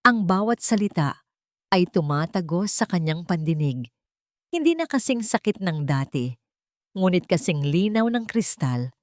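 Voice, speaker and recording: A Filipino woman reading a dramatic romance story as a storyteller, in a solemn, measured, emphatic way. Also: on the low side, sing-song, no echo, studio quality.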